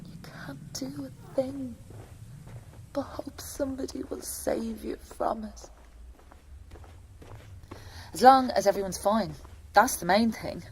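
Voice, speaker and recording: A woman's voice: a little high, slow pace, pauses often, slight background noise, low-quality recording. A woman playing an anxious working-class young mother who fears for her husband, in an intimate audio drama monologue. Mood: anguished, then forced calm. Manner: hushed, breathy inner thoughts broken by spoken-aloud outbursts. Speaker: actor in a solo audio play.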